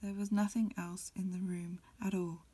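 A woman reading a storybook aloud as ASMR in a breathy, mostly whispered voice, a little hesitant, with small stumbles. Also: lowish voice, level tone, normal speed, warm tone. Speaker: amateur reader. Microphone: close recording, lo-fi home setup.

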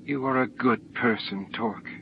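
A man voicing a gentle, affectionate and sorrowful young female alien in an audiobook, speaking formally. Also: medium pitch, a little slow, lightly acted. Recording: dull noisy audiobook recording.

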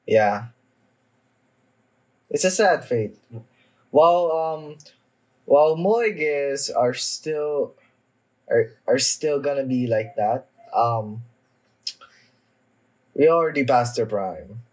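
A young man giving casual, off-the-cuff reaction commentary in a halting way, trailing off mid-thought. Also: mid-range voice, a little mellow, poor audio. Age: young adult. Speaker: anime reaction vlogger. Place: small home room, indoors.

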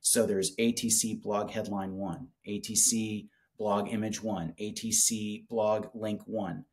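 Enthusiastic man with a lowish voice. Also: level tone, a little slow, a little mellow. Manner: enthusiastic, colloquial. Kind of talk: casual product pitch in conversation. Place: small office with whiteboard, indoors. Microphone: video call.

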